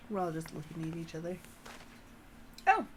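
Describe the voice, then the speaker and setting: A woman streaming a video game, reacting casually with amused, drawn-out remarks over background noise. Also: on the low side, very animated. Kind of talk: live gameplay reading and casual commentary.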